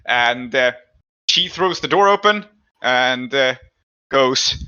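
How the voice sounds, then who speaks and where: Male game master: very sharp, forceful voice, explanatory narration, lo-fi mic. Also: medium pitch, animated, slow pace, clean tone. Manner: explanatory, checking in with players. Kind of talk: tabletop game narration and rules talk.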